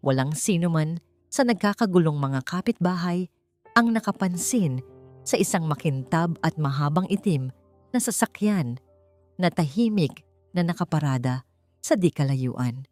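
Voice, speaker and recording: A Filipino woman narrates a scripted drama in a fairly low voice. Her delivery is deliberate, theatrical and full of feeling. Faint music plays behind her. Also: a little mellow. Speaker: storyteller. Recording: roomy sound with faint music.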